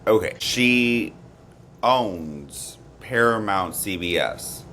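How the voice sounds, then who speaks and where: A man's voice: lowish voice, animated, extremely slow, pushed and bright, a little hiss or hum. A man co-hosting a podcast in casual chat, with an emphatic way of talking. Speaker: podcast co-host.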